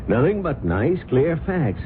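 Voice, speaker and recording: A gruff impatient editor. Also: male voice, a little high, very animated, a little slow, slight background noise. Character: gruff male newspaper editor. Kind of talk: 1970s radio drama acting. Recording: tinny lo-fi old radio broadcast.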